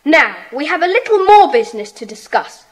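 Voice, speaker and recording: A very high-voiced schoolboy in a children's audio drama. He is bossy, brisk and earnest, running his little club with lively swoops in pitch. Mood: earnest, organising. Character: bossy, eager schoolboy leader of a children's secret society.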